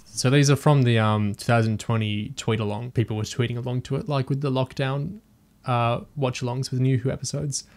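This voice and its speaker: A man's voice: mid-range voice, steady tone, brisk, gravelly, a little mellow. A man talking casually as a panellist on a discussion podcast.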